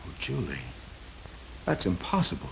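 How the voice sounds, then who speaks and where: An American actor in middle age playing a smooth, protective, troubled man in a 1930s film drama, speaking earnestly. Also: low voice, wildly swooping pitch, normal speed, a bit bright, heavily acted, low-quality recording. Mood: grave, resigned. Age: middle-aged. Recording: hissy 1930s film soundtrack.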